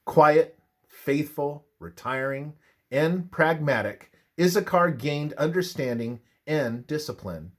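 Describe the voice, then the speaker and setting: Slow-paced man reading devotional narration. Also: fairly high pitch. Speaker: reader of a religious devotional. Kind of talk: scripted narration of a Bible study, read aloud.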